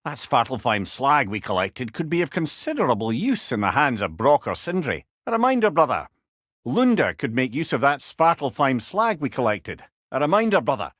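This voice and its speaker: Old Scottish sage, lively swooping intonation, wry, eloquent, rueful, video game companion, very dry close sound. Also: male voice, medium pitch, moderate pace, no echo. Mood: advising. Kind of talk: video game character dialogue.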